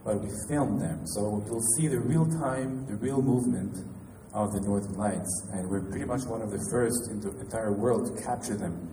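French-Canadian man in an echoey hall. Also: fairly low pitch. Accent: Québécois French. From Quebec, Canada. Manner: halting, with restarts and light laughs. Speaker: pianist and composer. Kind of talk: casual spoken introduction to a show. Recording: echoey hall from far off, noisy.

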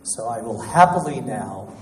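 A man hosting an event, introducing the performer in an unhurried, friendly way. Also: medium pitch, expressive, a little mellow, slight background noise. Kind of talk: spoken introduction. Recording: echoey hall from far off.

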